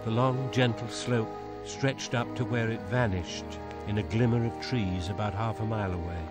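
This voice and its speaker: A man narrates a fantasy radio drama in a somewhat low, unhurried voice, shaping each phrase like a storyteller. A prominent musical score runs beneath his reading throughout. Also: loud music behind the voice.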